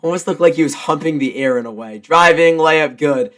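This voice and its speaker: A man with a stuffy, congested voice doing live basketball play-by-play with casual, excitable reactions. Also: fairly high pitch, brisk. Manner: excitable, shouts on big plays. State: congested from a cold. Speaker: live play-by-play commentator and streamer.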